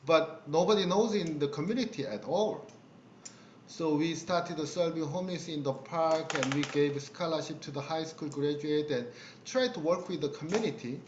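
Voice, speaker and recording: Korean-accented pastor on a roomy call. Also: male voice, a little high, background noise. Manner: halting, many fillers, laughs while telling stories. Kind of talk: casual conversational storytelling. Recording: roomy, dull video-call audio.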